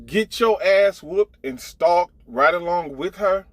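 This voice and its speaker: A man's voice: high-pitched, lively intonation, slow, fairly bright tone, no echo. A man telling a casual gossip story as an online commentator, blunt, profane and exasperated, driving his points home with emphatic repetition.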